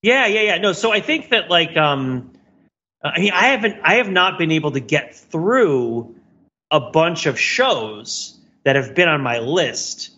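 A man's voice: fairly high pitch, animated, unhurried, bright, forceful tone, lo-fi sound. A man talking casually as a podcast co-host in a rambling, self-deprecating, wry way. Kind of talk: casual conversational podcast chat.

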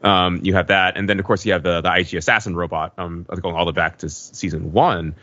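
A man chatting casually as a podcast panelist, in a halting, measured way with trailing asides. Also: sits low, steady tone, bright, forceful tone, lo-fi sound. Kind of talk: casual discussion of TV.

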